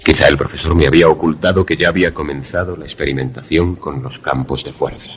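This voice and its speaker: A man plays the troubled male narrator of an eerie radio drama. His voice is extremely deep, and he tells the story gravely, in measured literary phrases. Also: fairly even intonation. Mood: suspenseful, grave. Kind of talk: first-person dramatic narration, suspense radio play.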